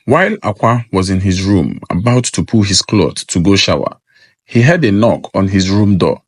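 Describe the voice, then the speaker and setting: A man's voice: low voice, lively intonation, moderate pace, husky, no room sound, clean studio sound. A man narrating a scripted folktale as a storyteller.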